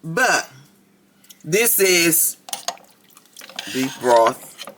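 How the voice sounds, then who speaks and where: A woman with a raspy voice, chatting casually to her viewers in a home kitchen cooking vlog. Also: low voice, big pitch swings, drawn-out words, a bit bright, a little hiss or hum. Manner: chatty, talks straight to viewers. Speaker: cooking vlogger.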